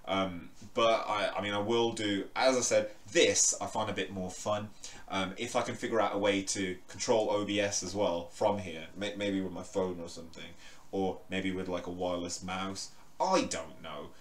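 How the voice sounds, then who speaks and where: Low-voiced man, booming and rambling. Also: fairly even intonation, moderate pace, far from the mic. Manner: rambling, loose, many fillers and restarts. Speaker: crypto vlogger. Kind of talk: casual talk to camera. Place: bedroom, indoors. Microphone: microphone in the room, standing away from it. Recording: roomy bedroom echo.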